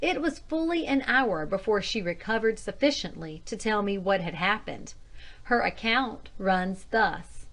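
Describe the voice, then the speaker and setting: A woman's voice: mid-range voice, lively intonation, unhurried, a bit bright. A woman reading scripted narration, telling a ghost story written as a diary. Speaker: audiobook narrator.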